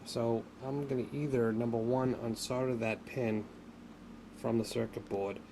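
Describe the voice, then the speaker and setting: A man giving an unscripted hands-on tutorial, speaking haltingly as he thinks aloud while working, as a hobbyist would. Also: mid-range voice, steady tone. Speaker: hobbyist demonstrating an electronics repair. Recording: noticeable background noise.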